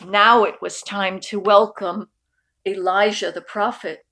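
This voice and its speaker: A woman reading a picture book aloud expressively, with deliberate, dramatic phrasing that stretches key words. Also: mid-range voice, steady tone, fairly bright tone. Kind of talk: expressive storybook read-aloud.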